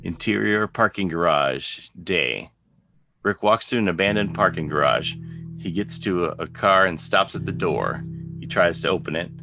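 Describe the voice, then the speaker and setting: A man's voice: low-pitched, normal speed, gravelly, sharp tone. A man narrating a script, reading out scene headings and stage directions as a narrator. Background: guitar music.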